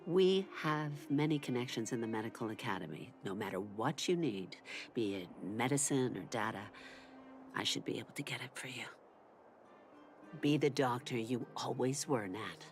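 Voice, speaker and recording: Woman acting a low-voiced, tender older mother. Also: expressive, normal speed, faint music behind. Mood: warm, reassuring. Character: gentle, loving elderly mother comforting her grown daughter. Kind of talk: dramatic voice acting.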